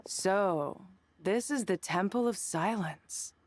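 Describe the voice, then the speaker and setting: A woman's voice: medium pitch, slow pace, lightly acted. A woman playing an earnest traveling companion in a fantasy video game. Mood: awed.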